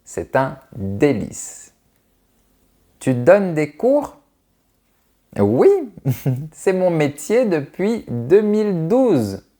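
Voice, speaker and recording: A man in his thirties from France, a French teacher answering viewers' questions in a clear, carefully articulated, friendly way. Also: on the high side, big pitch swings, very slow, long pauses, mellow. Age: 30s. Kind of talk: slow, clear video Q&A for learners.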